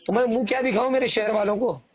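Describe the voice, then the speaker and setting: High-pitched indignant man on a phone. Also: steady tone, fast talker. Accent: Marathwada Dakhni Hindi-Urdu. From Parbhani, Maharashtra, India. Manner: indignant, insistent, argumentative, repeating himself. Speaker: election candidate and party worker. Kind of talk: informal phone conversation. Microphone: phone call. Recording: muffled recorded phone call.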